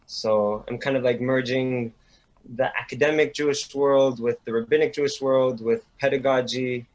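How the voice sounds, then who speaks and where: A young man answering interview questions, halting and repeating words as he gets going. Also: medium pitch, steady tone, darkish tone. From Sweden, raised in Montreal. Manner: hesitant, repeats words as he starts. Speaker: guest, rabbinic student.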